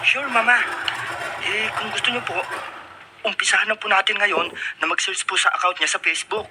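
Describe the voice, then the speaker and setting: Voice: medium pitch, normal speed, close to an everyday voice. An actor in a radio drama playing a polite, eager teenage son helping his mother. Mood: helpful. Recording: noisy radio broadcast.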